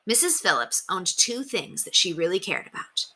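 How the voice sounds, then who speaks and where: A woman reads a children's story aloud in a fairly low but bright voice. Her narration is expressive, with lively rises and falls. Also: moderate pace, breathy edge, rough recording.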